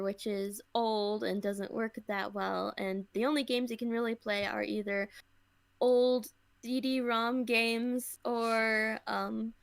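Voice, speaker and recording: Young woman, slightly high pitch, leisurely pace, casual conversational podcast talk. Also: clear, resonant tone, dry recording.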